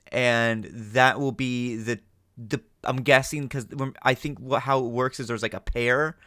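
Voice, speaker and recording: Scattered, sweary man with a crisp voice. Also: medium pitch, sharp tone, dry recording, clean studio sound. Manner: self-deprecating, sweary, scattered and rambling. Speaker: reaction video host. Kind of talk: casual unscripted chat to viewers.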